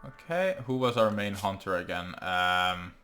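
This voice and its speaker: A young man with a Norwegian accent, English not his first language, casually talking through his gameplay and thinking aloud with frequent ums and pauses. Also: low voice, very animated, bright, forceful tone, soft background music. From Norway. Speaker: gaming channel host. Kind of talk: let's play commentary.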